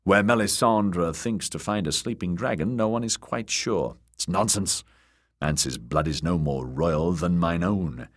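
Young commander for a storybook male reader acting: weary, stern, terse, very low and rough. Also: measured pace. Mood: stern, dismissive.

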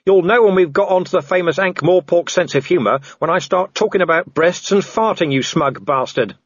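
Man doing a loud, sardonic, defiant older cop. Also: high-pitched, brisk, no room sound, muffled recording, voice pushed up. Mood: defiant shouting. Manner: sardonic, shouting. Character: gruff, defiant, sarcastic middle-aged policeman on the run.

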